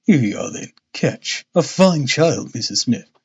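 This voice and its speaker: A man voicing a calm, polite Victorian gentleman detective from a storybook, with a bland, coaxing manner. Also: mid-range voice, measured pace, slightly rough, highs cut off, full character voice.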